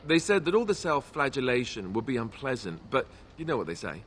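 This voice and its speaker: An actor playing a wry, self-deprecating young warrior in a fantasy video game, with a joking, sarcastic manner. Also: male voice, medium pitch, big pitch swings, fairly quick, fairly bright tone, slight background noise. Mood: playful sarcasm.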